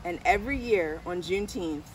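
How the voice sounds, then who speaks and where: A woman's voice: fairly low pitch, normal speed. Middle-aged woman reading aloud, distant outdoor mic. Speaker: school diversity director reading aloud. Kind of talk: picture book read-aloud. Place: outdoors on a garden bench under trees. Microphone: camera a few steps away. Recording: distant camera mic, outdoor background noise.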